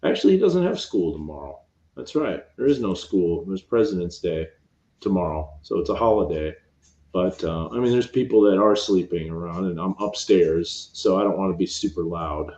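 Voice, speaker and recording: A man hosting a late-night weather stream from home, talking quietly and rambling through the forecast on a cheap microphone. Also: sits low, expressive, normal speed. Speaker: meteorologist host. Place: upstairs room at home at midnight. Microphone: cheap home mic. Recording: roomy, dull home mic.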